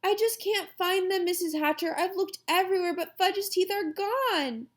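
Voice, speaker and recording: A dramatic young schoolgirl in a children's audiobook. Her voice is shrill and very lively, with a whiny, defensive edge. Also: measured pace, full character voice. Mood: distraught.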